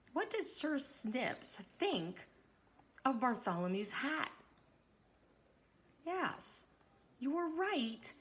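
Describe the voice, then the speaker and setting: A woman reading a children's story aloud, expressively and deliberately, pausing to address young listeners. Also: a little high. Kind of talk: read-aloud narration with questions to young listeners.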